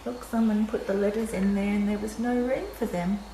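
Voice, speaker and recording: A woman's voice: medium pitch, steady tone, a little fast, warm tone. An older woman reading a children's picture book aloud in short, simple phrases, with chatty asides to young listeners. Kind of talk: read-aloud story with casual asides. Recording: roomy echo, faint background noise.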